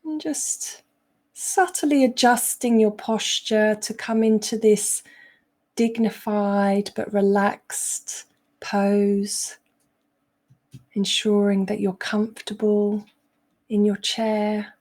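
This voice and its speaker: A woman meditation coach with a relaxed, mellow tone and a middle-range pitch. She speaks slowly, pausing often between short phrases. Her guidance is gentle and instructional throughout. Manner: gentle, unhurried, instructional with frequent pauses. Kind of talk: guided meditation.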